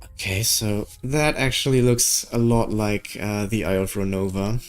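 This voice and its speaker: A man's voice: on the low side, fairly even intonation, a little slow, smooth, soft background music. A man hosting a game playthrough, thinking aloud and questioning as he reacts. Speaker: gaming video host. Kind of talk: game playthrough commentary, reading dialogue and reacting.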